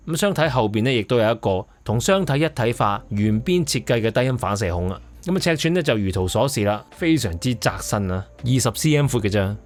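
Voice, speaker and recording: A man with a Hong Kong accent giving a conversational, explanatory product review as host. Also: medium pitch. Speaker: audio equipment reviewer and host.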